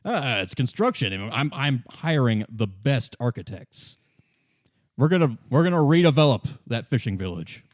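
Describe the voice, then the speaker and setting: A man voicing a jovial, scheming, heavyset union boss with effusive flattery and rambling salesmanship in a tabletop roleplay. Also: mid-range voice, moderate pace, dry recording, clean studio sound, lightly acted. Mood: slick, pitching. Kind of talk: improvised tabletop roleplay acting.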